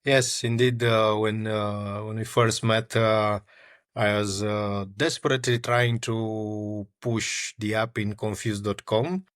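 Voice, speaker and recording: A man's voice: fairly low pitch, flat delivery, slow, fairly bright tone, no room sound, studio quality. A man from Romania, a startup founder answering interview questions with frequent fillers and restarts. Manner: hesitant, many fillers and restarts.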